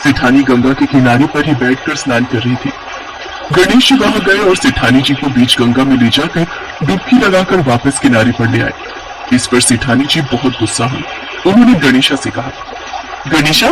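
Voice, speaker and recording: A man's voice: mid-range voice, expressive, normal speed, poor audio. A man narrating a scripted cartoon story as a storyteller.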